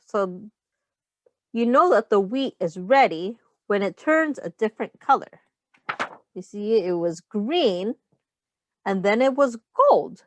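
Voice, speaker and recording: A woman's voice: mid-range voice, lively intonation, slow, pauses often, no echo. A woman teacher reading a picture book aloud to young children, explaining simple words and asking them questions as she goes. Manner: instructive, pointing out rhymes and addressing young children directly.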